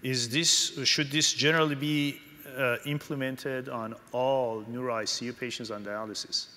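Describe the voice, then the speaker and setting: Halting male panel moderator, bright voice. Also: medium pitch, steady tone, slight background noise. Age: middle-aged. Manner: halting, hesitant phrasing with many pauses. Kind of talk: moderating a conference panel, reading questions. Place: large conference hall stage. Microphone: podium microphone. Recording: hall reverb from stage PA.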